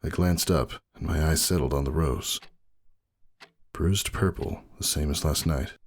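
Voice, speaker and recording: Very deep, husky man narrating somberly. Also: measured pace. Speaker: story narrator. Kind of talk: dramatic first-person story reading. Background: faint music.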